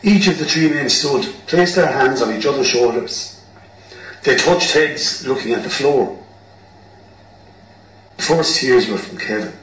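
A man's voice: mid-range voice, measured pace, long pauses, fairly bright tone. Irish man narrating, roomy and distant. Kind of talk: scripted narration of a radio play. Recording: roomy, distant mic, background noise.

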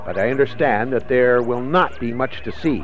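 An actor playing a regretful alien commander in a radio drama, speaking in a measured, formal, reflective way. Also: male voice, medium pitch, natural voice. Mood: solemn, detached. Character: regretful male alien commander recording his world-ending mission.

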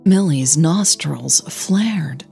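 A woman narrating scripted fiction in a soft, breathy voice. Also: low voice, expressive, drawn-out words, faint music behind.

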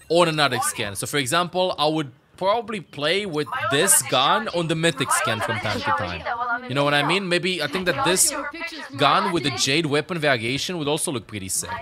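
A man in his thirties giving casual, informal gameplay commentary, thinking aloud into a close desk mic. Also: a little high. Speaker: gaming streamer. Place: small indoor streaming room. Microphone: close desk microphone on boom arm. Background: loud game music and sounds.

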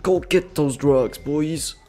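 A man giving casual commentary over a management game, excitable, swearing and yelling orders at his units. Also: a little high, takes it slowly. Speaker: gaming channel host.

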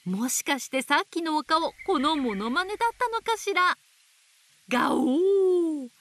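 A woman playing a gentle, motherly owl teacher who reads picture books to toddlers with playful sound words. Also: extremely high pitch, animated, measured pace, exaggerated voice. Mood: gentle, teasing. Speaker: picture book storyteller for babies. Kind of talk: picture book read-aloud for toddlers. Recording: faint background noise of nature. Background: nature sounds.

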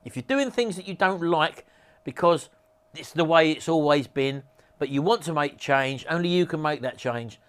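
Male, 40s, informal presenter selling a course, slightly higher-pitched voice, encouraging and unpolished plain talk, camera a few feet away. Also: lively intonation, normal speed, clean tone. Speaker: presenter promoting an auction reselling course. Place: small cluttered home office.

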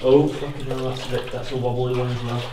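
A man's voice: medium pitch, level tone, fast talker. A young man exploring with friends, talking in casual, sweary banter. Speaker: urban explorer in a group vlog. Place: outdoors on woodland trail, then inside cave. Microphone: camera microphone at varying distance. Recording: very noisy outdoor camera recording.

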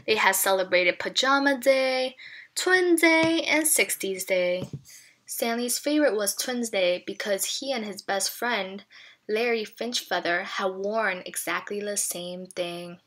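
A woman reading a children's picture book aloud expressively, pausing to explain things to young listeners. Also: mid-range voice, fairly bright tone.

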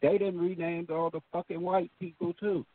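A man's voice: high-pitched, level tone, normal speed, dry recording. A man co-hosting a casual talk show, speaking bluntly and emphatically with a lot of profanity. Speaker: co-host. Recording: tinny phone line.

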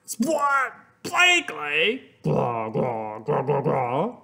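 Man putting on an exaggerated, excitable storybook schoolboy. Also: fairly high pitch, very slow, vocal fry, exaggerated voice. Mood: disgusted. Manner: exaggerated, loud, spluttering. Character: excitable schoolboy in a picture book.

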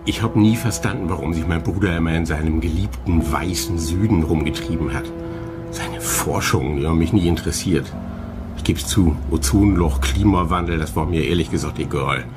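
An actor playing a cold, dismissive shipowner from a family business in a radio play. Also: male voice, sits low, a little fast, loud music behind the voice, lightly acted.

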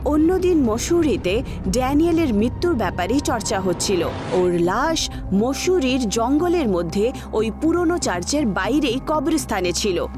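Female horror-story narrator: dramatic scripted read, very animated intonation, clear music underneath. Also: a little high, a little slow.

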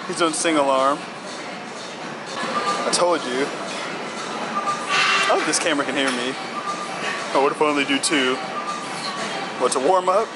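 A man's voice: on the high side, measured pace, pauses often. A young man chatting and laughing easily with a friend while working out in a large gym. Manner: laughing, easygoing. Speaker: workout partner. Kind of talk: casual gym banter. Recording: loud gym noise.

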